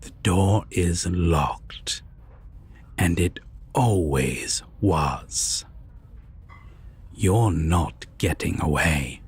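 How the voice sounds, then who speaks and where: Man acting a slow, breathy, menacing vampire tyrant. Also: deep voice, animated, heavily acted. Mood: cold, threatening. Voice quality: husky, breathy, often near whisper. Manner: menacing, slow, deliberate, condescending. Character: sadistic, possessive male vampire tyrant villain. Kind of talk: dark audio roleplay acting.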